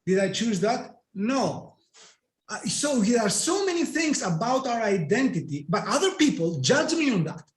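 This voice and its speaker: A Greek-accented man with a very high voice explains his ideas emphatically in an interview. He keeps asking rhetorical questions and answering them himself. The recording has a noticeable echo from the room. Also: very animated, normal speed. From Greece. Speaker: guest coach and NLP trainer. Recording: echoey room.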